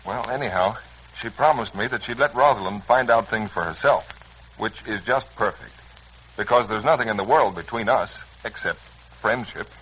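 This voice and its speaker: An actor with an American accent playing an earnest, slangy, hot-headed young son in a 1940s radio serial. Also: male voice, lowish voice, moderate pace, fairly bright tone, poor audio. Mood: wry, chatty. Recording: old, rough radio recording.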